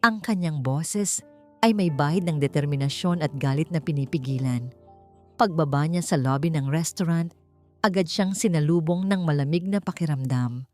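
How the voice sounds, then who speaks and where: A woman with a Filipino accent narrating a scripted dramatic story in an expressive, measured storytelling manner. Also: low voice. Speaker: audiobook narrator. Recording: music behind the voice. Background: background music.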